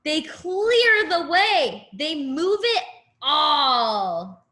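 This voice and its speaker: A woman with a high voice reads a picture book to small children in a slow, unhurried way. Her intonation swings widely and expressively, and she speaks simply, as a teacher does to young pupils. The room adds a noticeable echo. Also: very animated, bright, forceful tone. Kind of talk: children's read-aloud with asides to the listeners.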